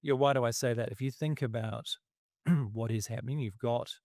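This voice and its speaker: A man, losing his voice, talking through markets in a casual, halting way and clearing his throat often. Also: mid-range voice, darkish tone, no room sound, clean studio sound. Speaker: investment commentator.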